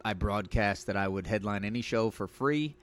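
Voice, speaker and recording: Low-voiced man, halting chat. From Iran, raised in the US. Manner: halting, many fillers and restarts, soft-spoken. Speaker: comedian guest. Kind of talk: casual podcast chat.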